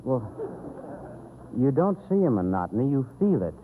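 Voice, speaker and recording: A man's voice: medium pitch, very animated, normal speed, slight background noise. A man playing the straight man in a 1930s radio comedy, explaining things with patient exasperation. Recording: tinny 1930s radio recording, music.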